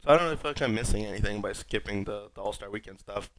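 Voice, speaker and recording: A young man with a raspy voice, sick, rambling wryly through casual gameplay commentary as a streamer. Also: lowish voice, very fast, a bit bright, dry recording. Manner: rambling, wry, self-mocking. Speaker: gaming streamer.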